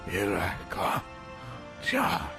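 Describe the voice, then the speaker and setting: A man playing a dying, regretful idealist revolutionary in an audio drama, speaking in halting, broken phrases. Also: low-pitched, wildly swooping pitch, vocal fry, loud music behind the voice, exaggerated voice. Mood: anguished, fading.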